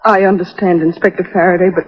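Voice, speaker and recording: A grieving widow in an old radio crime drama. She pleads defensively in a subdued, breathy voice on a muffled 1940s recording. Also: fairly low pitch, flat delivery, fast talker. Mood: distressed.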